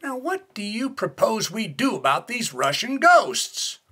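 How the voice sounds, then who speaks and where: An impatient, blustering colonel for a comedic radio play. The voice is raspy and pitched high, with a bright, forceful edge. He barks demands with commanding bluster. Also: very animated, measured pace, exaggerated voice. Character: gruff, impatient, blustering male military colonel, demanding results.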